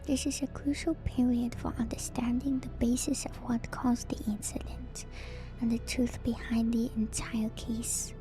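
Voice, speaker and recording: A woman doing a hushed, intimate ASMR stream, reading lore in a soft breathy whisper and adding casual asides to her viewers. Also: a little high, fairly even intonation, moderate pace, rough recording. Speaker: streamer host. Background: music.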